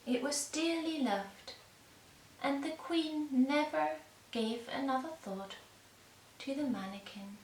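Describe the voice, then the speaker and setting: A woman narrating a fairy tale for small children in a gentle, deliberate way, recorded in an echoey room. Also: sits high, a little hiss or hum. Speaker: puppet-show storyteller. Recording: echoey room, distant microphone.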